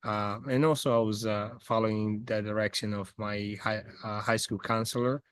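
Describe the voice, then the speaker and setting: A man's voice: fairly low pitch, little pitch movement, moderate pace, clear, resonant tone, no echo. A man in his forties from the Republic, speaking English, answering interview questions over a video call with many hesitant fillers and restarts. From Dominican Republic. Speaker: guest. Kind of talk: casual interview chat. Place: outdoors by a house among trees.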